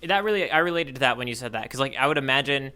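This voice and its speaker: A man's voice: medium pitch, animated, rapid-fire, a crowd in the background. A man co-hosting a podcast in casual conversation, reflective and rephrasing his thoughts as he goes. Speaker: podcast co-host.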